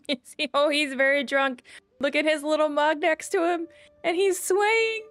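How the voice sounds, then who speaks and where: A woman's voice: high voice, fairly even intonation, a little slow, fairly bright tone, no echo. A woman game streamer giving casual live gameplay commentary and chatting with viewers. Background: game music.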